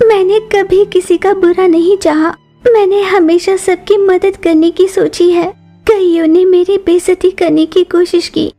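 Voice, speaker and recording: A woman's voice: very high voice, mellow, pitched above the performer's own voice. A woman voicing an earnest, plaintive young female bird in a children's cartoon. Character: sorrowful, virtuous young female bird, beauty contestant. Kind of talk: Hindi children's cartoon voice acting.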